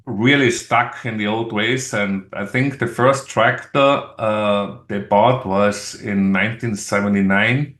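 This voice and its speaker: A middle-aged man with an Austrian accent, a native German speaker, talking as an interview guest in a halting, explanatory way. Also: on the low side, steady tone, fairly bright tone. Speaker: podcast guest, engineer. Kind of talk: conversational interview. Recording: roomy, echoey sound.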